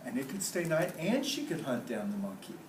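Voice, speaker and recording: A male teacher tells a story to a class with expressive delivery and encouraging asides. His pitch moves a lot, and he sounds distant in an echoey classroom with faint noise. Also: fairly quick. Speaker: teacher reading aloud to class. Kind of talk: read-aloud lesson, storytelling with class questions.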